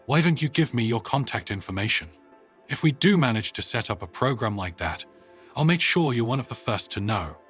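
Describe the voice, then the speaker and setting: A man reads the part of a kind woman space commander in a sci-fi storybook. The delivery is measured and considerate, at a middle male pitch over faint music. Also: lively intonation, fairly bright tone, breathy edge. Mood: kindly.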